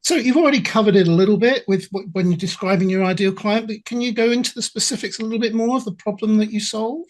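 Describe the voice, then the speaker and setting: A woman's voice: medium pitch, fast talker, few pauses, a little mellow. An English woman hosting a podcast interview as an accountability coach, chatty and effusive with playful asides. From England.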